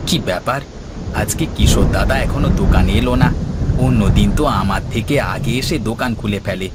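A man's voice: lowish voice, brisk, heavy background noise, lightly acted. A man playing an anxious villager in a fairy tale cartoon. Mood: puzzled.

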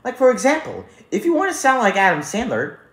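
A performer voicing a toy squid inventor in a homemade puppet skit, presenting like a showman with drawn-out announcements. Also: medium pitch, huge pitch swings, a bit bright. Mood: showy. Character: showy toy squid inventor, eagerly demonstrating a gadget. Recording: echoey room with faint noise.

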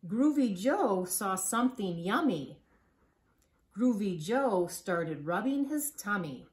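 A woman reads a children's picture book aloud in a living room, with playful emphasis and deliberate phrasing. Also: medium pitch, expressive, darkish tone. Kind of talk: expressive children's storybook read-aloud. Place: living room at home, on a couch.

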